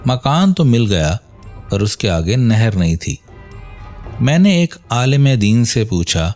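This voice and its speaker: A man with a fairly low voice reads a moral religious tale as a storyteller. His delivery is measured and earnest, with music playing faintly behind the narration. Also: darkish tone. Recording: voice over background music.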